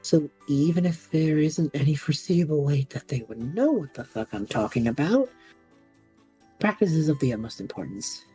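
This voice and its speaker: A woman playing an anxious, sarcastic young male magic student in an audio drama, rambling, flustered and interrupting himself, with a husky voice. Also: low voice, lively intonation, normal speed, warm tone, soft background music, low-quality recording. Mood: musing. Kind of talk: audio drama acting, monologue and banter.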